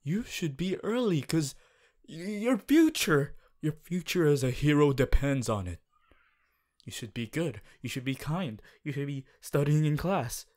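A man's voice: fairly high pitch, animated, unhurried, darkish tone. A man voicing a strict, earnest teenage class president, formal and preachy, in an audio roleplay. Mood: indignant. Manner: formal, preachy, exasperated, stiff phrasing. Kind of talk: ASMR character audio roleplay monologue.

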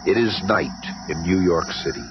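A man's voice: low-pitched, lively intonation, normal speed. A man narrating a 1970s superhero radio drama in a theatrical, grandiose scripted style. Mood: dramatic. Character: dramatic comic-book story narrator. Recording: noisy dull 1970s radio recording.

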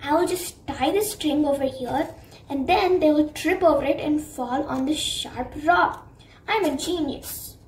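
A woman's voice: high voice, a little slow, a little mellow. A woman voicing a spiteful male villain crane in a children's paper-craft story, gloating and scheming.

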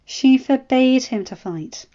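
A woman plays a sharp-witted noblewoman in a fantasy story. She speaks bluntly and with full self-assurance. The voice sits fairly high. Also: animated, slow, dull audio. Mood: blunt, confident. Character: sharp, bold noblewoman in hiding.

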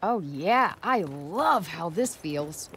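A woman's voice: fairly low pitch, animated, takes it slowly, fairly bright tone, a little hiss or hum, heavily acted. A woman playing a sarcastic, streetwise young heroine in a fantasy video game, speaking casually. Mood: wry, guarded. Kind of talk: naturalistic video game acting.

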